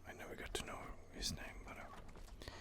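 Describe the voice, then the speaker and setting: A man softly whispering ASMR commentary on a fantasy game he is playing, rambling and hesitant, close to the microphone. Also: normal speed. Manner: soft-spoken, rambling, hesitant. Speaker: gaming channel host.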